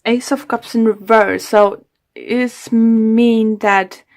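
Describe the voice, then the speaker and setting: Slow, gravelly-voiced woman tarot reader. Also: fairly high pitch, fairly even intonation. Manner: rambling, loose phrasing, many fillers. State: losing her voice. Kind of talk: solo tarot reading to camera.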